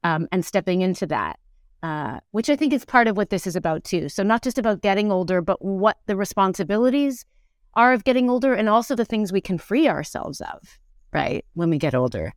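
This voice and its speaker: A woman, chatting as an interview guest in halting, reflective phrases. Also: mid-range voice, animated, no echo, studio quality. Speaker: actor and singer, podcast guest. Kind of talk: casual interview conversation.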